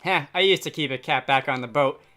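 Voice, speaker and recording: A man reads the part of a young sailor in a fantasy game, easygoing and curious. The voice is bright, lifted a little high, and plain-spoken, over music. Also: brisk. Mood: fond.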